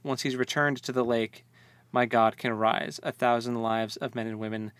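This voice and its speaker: A man with a mid-pitched voice and a very dry, close sound chats as a co-host about a book. He talks informally, with a jokey touch, often feeling his way through a thought. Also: steady tone, moderate pace, a bit bright, clear, resonant tone, no room sound. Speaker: podcast co-host. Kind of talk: casual book discussion.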